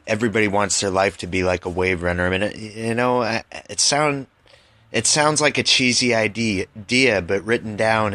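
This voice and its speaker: Forceful, low-voiced man hosting a podcast. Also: no echo. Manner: halting, repeats words. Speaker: podcast host. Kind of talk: casual, unscripted podcast talk.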